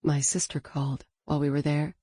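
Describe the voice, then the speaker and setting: A woman narrator voicing a grieving young woman artist in her late twenties, speaking quietly with a strained, practical manner. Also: sits low, flat delivery, fairly quick, breathy edge, no room sound, clean studio sound. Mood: subdued.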